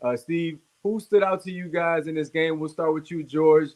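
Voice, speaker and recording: A man co-hosting a live sports post-game show in a measured, steady way. His voice sits a little high for a man. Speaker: podcast co-host. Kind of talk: casual sports talk.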